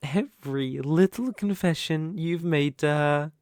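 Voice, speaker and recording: A man's voice: a little high, a little mellow, no echo, studio quality. A British man acting a dominant, teasing, possessive young bully in love in an intimate ASMR roleplay. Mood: teasing, amused. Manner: slow, teasing, commanding.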